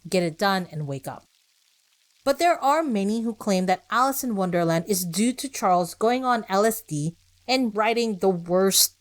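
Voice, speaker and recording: A woman hosting a podcast, reading scripted narration with conversational asides, rhetorical questions and short emphatic phrases. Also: mid-range voice. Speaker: podcast host.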